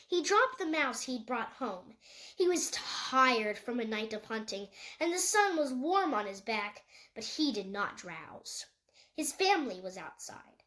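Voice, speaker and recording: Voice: high-pitched, a bit bright. A young reader, a child or young teen, narrating a story read aloud from a book. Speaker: audiobook reader.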